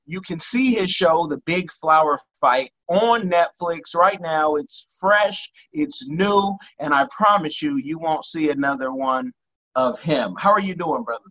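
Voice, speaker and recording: A man's voice: on the high side, animated, a little slow, sharp tone, dull audio. A man hosting an interview from his home kitchen, rambling and repeating words as he talks eagerly. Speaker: interview host. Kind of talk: casual interview chat.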